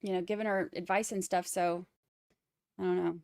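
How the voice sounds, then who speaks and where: A woman's voice: lowish voice, fairly even intonation, normal speed, vocal fry, darkish tone, no room sound, studio quality. A woman with a Boston accent hosting a live trial commentary stream, chatting wryly and reading out viewer comments. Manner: chatty, wry, rambling asides.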